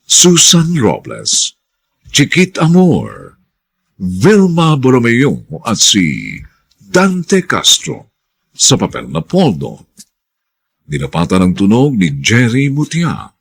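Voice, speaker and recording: Husky, hugely animated Filipino male announcer. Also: mid-range voice. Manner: theatrical, drawn-out emphatic delivery. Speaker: radio drama host and announcer. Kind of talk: formal closing announcement, reading credits.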